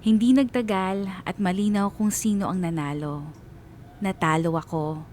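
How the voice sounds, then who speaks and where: A Filipino woman narrates a radio story in a scripted, dramatic style. Her voice sits at a medium pitch, with faint ambient noise behind it. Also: fairly even intonation, normal speed, a little mellow. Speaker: radio DJ reading a listener's story.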